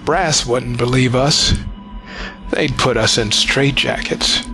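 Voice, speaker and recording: A man acts a conscientious army sergeant in an audio drama, shaken and deferential. He speaks earnestly at a slow pace, with music clearly behind him. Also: medium pitch. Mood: bewildered, respectful. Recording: music under the voice.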